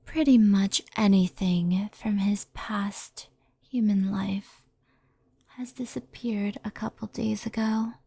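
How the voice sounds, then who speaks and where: A woman voicing a stern, composed fox-spirit in an ASMR roleplay, speaking softly in a measured, formal, admonishing way. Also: mid-range voice, fairly even intonation, dry recording, exaggerated voice. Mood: calm, explaining. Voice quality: breathy, soft. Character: stern, composed female fox-spirit yokai, cautious and scolding.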